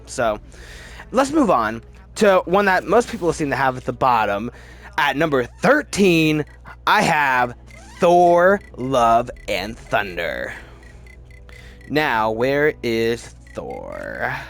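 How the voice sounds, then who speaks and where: A man's voice: mid-range voice, sing-song, takes it slowly, fairly bright tone, soft background music. A man hosting a casual, opinionated film ranking video, rambling as he goes and often repeating words for emphasis. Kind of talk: casual opinionated movie commentary.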